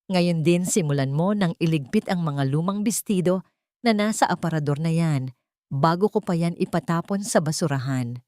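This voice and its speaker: Woman voicing an arrogant, domineering Filipino husband, low-pitched. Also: moderate pace, a little mellow, no room sound, clean studio sound, close to an everyday voice. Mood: threatening. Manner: dismissive, commanding. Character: arrogant, cheating husband, cold and domineering man.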